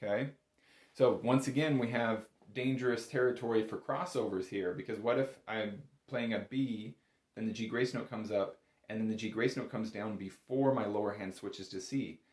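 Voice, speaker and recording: A man's voice: fairly low pitch, moderate pace, darkish tone, echoey room. A man giving a casual, step-by-step music lesson as an instructor, in an informal, reassuring and encouraging manner. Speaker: bagpipe instructor.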